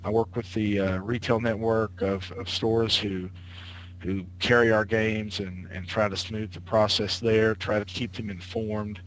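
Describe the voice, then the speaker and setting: A man's voice: fairly low pitch, flat delivery, unhurried, slight background noise, low-quality recording. Late-30s man rambling over a call. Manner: rambling, lots of uh and restarts. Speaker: guest. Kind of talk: casual conversation. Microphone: internet call.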